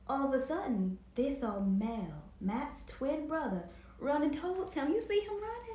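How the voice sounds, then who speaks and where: A woman's voice: high voice, normal speed. A woman hosting a children's storytime, reading aloud expressively and speaking directly to her listeners. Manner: expressive, performative, addresses viewers directly. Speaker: children's storytime host and reader. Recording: roomy, echoing home recording.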